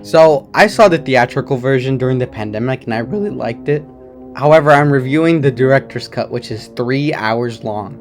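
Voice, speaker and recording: A man with a slightly hoarse voice and occasional voice cracks, giving a chatty, rambling movie review at home. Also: medium pitch, lively intonation, normal speed, soft background music. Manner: chatty, rambling, enthusiastic opinions. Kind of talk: casual non-spoiler movie review.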